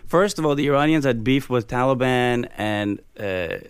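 A man's voice: medium pitch, animated, moderate pace, smooth, no room sound, clean studio sound. A man giving casual political commentary as a guest, blunt and colloquial, with plenty of swearing. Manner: blunt, heavily profane, colloquial.

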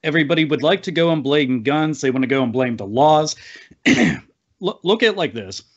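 A man's voice: on the high side, expressive, moderate pace, lo-fi sound. A man on a podcast panel giving an emphatic, wordy, opinionated rant full of swearing. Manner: emphatic, wordy, piles up clauses, swears freely.

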